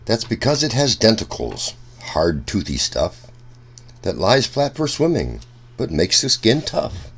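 A man reading a rhyming children's book aloud in a deliberate, sing-song storytelling manner. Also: fairly low pitch, wildly swooping pitch, slightly rough.

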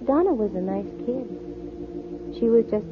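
A woman's voice: on the high side, lively intonation, takes it slowly, music in the background. An actress playing a dry, wisecracking female private investigator in a 1940s radio drama. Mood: explaining. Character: wry, self-possessed female private investigator. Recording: muffled, thin 1940s radio recording.

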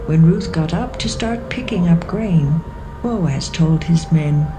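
A woman's voice: deep voice, takes it slowly, background noise. A woman narrating a scripted, dramatised Bible story. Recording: music behind the voice.

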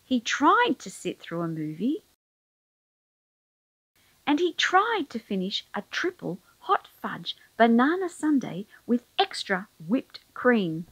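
A woman's voice: on the high side, huge pitch swings, slow, a bit bright, breathy edge, no echo, poor audio. A woman reading a children's picture book aloud as storyteller, expressively, drawing out key words for emphasis.

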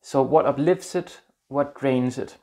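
A Danish-accented man in his thirties talks gently to the camera, earnest and a little halting as he searches for words. He is recorded close on a lapel mic in a small indoor room. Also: medium pitch. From Denmark. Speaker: spiritual vlogger. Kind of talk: casual talk to camera.

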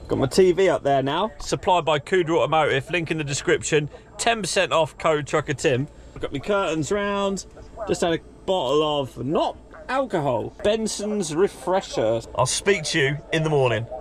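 A man, chatting excitably as he vlogs from a truck cab on a handheld camera. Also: on the high side. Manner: chatty, excitable, quick exclamations. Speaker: truck driver vlogger. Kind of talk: casual vlog commentary. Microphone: handheld action camera. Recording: engine and road noise behind.

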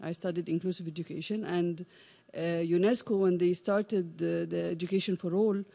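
A middle-aged woman from Egypt speaking from the floor at a conference, with many pauses, fillers and restarts. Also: fairly low pitch, steady tone, gravelly, warm tone. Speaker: audience member, parent advocate. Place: large conference room. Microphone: desk microphone.

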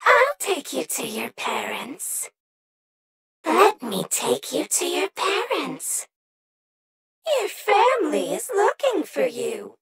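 A perky animatronic hen from a video game, extremely high and swooping in pitch. She draws her words out very slowly in an exaggerated sing-song. Her sweetness carries a menacing edge. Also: sing-song, creaky, crowd noise, exaggerated voice. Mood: sweetly coaxing. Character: perky female animatronic chicken mascot, sweet but menacing.

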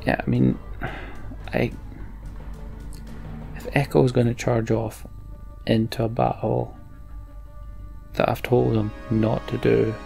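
A man with a heavily fry-laden, gravelly voice gives casual game commentary. His pitch stays fairly flat as he mutters through his thoughts in short fragments and reads on-screen story text. Faint music plays behind him. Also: takes it slowly, long pauses. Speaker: let's play host.

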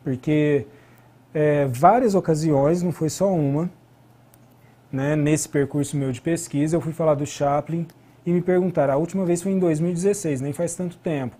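Husky middle-aged Brazilian man lecturing. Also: on the high side, mellow. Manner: explanatory, with rhetorical questions. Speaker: history lecturer and researcher. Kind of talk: online academic lecture. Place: indoor studio at a desk.

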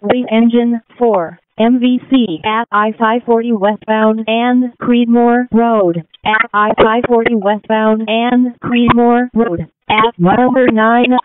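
A woman's voice: a little high, steady tone, takes it slowly, few pauses. A woman working as an emergency dispatcher, making clipped, repetitive procedural announcements over radio. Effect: radio transmission. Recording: noisy, narrow-band emergency radio with clicks.

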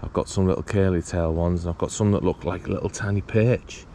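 A low-pitched British man talks casually outdoors, musing aloud like an angler presenting his own fishing video. His speech is colloquial and full of questions, picked up on an action camera with clear ambient and traffic noise around him. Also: steady tone, a little fast, warm tone. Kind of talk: casual chat to viewers while fishing. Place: outdoors beside a small pond. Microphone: body-worn action camera.

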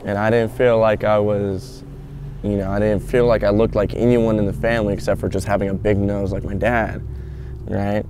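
A man's voice: fairly low pitch, level tone, normal speed, a little mellow, a little hiss or hum. A man in his early twenties casually telling his own story in an outdoor interview. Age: early 20s. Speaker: documentary interviewee. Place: outdoors in a garden.

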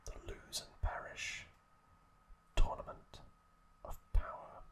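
A man whispering breathily in a halting, gentle ASMR storytelling recap, close to the microphone. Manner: slow, halting phrases with pauses. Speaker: ASMR host.

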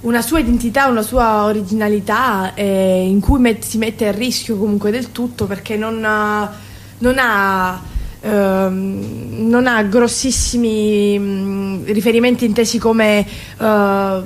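A woman speaks as a theatre company guest in a conversational interview. Her medium-pitched voice halts as she looks for words, over slight background noise. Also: fairly even intonation. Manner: halting, searching for words.

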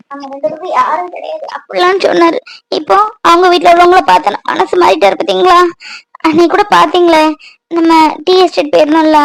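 A woman's voice: very high-pitched, vocal fry, no echo, low-quality recording. A woman voicing a frightened young wife who cries and pleads with an older friend. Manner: tearful, trembling, pleading. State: crying. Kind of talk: Tamil domestic audio drama.

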